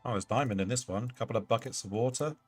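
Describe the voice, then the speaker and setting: A man hosting a gaming livestream, hoarse and clearing his throat, casually muttering to himself and chatting to game monsters. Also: medium pitch, rapid-fire, music in the background. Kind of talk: casual live gameplay commentary.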